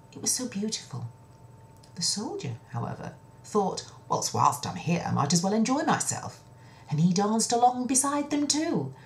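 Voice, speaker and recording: A woman telling a children's story in an expressive, dramatic way, with breathy dips toward a whisper and a chatty, confiding touch. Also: mid-range voice, rough recording. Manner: chatty asides to the listener. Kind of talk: children's story narration, told in own words.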